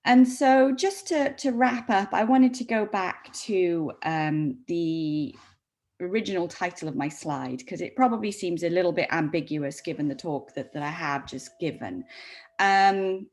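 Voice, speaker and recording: A woman in her forties, raised in Saudi Arabia, with a Welsh accent, giving a measured academic talk with frequent ums and repeated words. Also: lowish voice, darkish tone. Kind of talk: recorded academic presentation.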